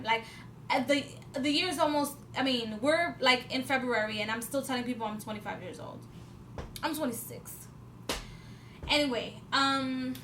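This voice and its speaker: High-voiced rambling woman in her twenties. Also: bright, forceful tone. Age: 20s. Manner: rambling, many fillers and restarts, swears for emphasis. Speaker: vlogger. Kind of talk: casual spiritual talk to camera. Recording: echoey room with faint background noise.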